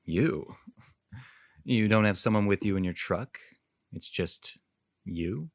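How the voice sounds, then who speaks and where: A man's voice: on the low side, slow, no room sound. A man playing a condescending, sexist wealthy homeowner with a haughty, insinuating manner in an audio drama. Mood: taken aback, doubtful. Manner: hesitant fillers, haughty, insinuating.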